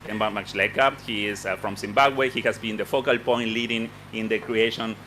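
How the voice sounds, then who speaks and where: A lowish, forceful male voice with an Argentine accent, that of a native Spanish speaker. He gives a semi-formal conference speech off the cuff, repeating words as he hunts for phrasing. There is some faint noise behind him. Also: steady tone, brisk. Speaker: program director giving a launch speech. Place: conference event with participants.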